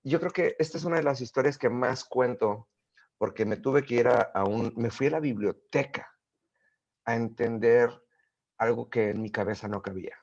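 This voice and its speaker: Gravelly, husky man telling stories. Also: medium pitch, moderate pace, highs cut off. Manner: animated storyteller, many fillers and restarts. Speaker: guest. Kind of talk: casual interview conversation.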